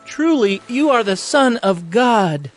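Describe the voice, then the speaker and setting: An actor playing a humble disciple, speaking slowly and earnestly in a dramatized audio Bible. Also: male voice, very high voice, expressive, faint music behind, heavily acted. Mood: reverent.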